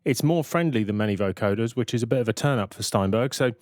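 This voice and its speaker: A man giving a casual, matter-of-fact software tutorial as a plain-spoken voice-over. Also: mid-range voice, expressive, fast talker. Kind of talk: casual tutorial narration over screen recording.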